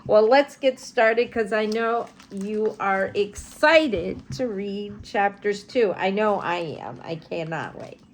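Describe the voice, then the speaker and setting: A woman storyteller reading a children's storybook aloud in an animated way, with chatty asides to young listeners. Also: mid-range voice, slow, few pauses, a little hiss or hum.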